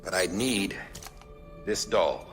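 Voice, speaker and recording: An actor playing a shrewd masked director who speaks in a deliberate, folksy, conspiratorial way in a dramatic game cutscene. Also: male voice, deep voice, expressive. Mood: guarded, grave. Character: shrewd masked director leaving a grave secret message. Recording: music bed under the voice.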